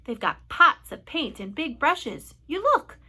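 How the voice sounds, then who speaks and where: An earnest young boy, voiced by a woman reading aloud, high and bright. He sounds curious, in an animated storybook style. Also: slow, full character voice. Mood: hushed wonder.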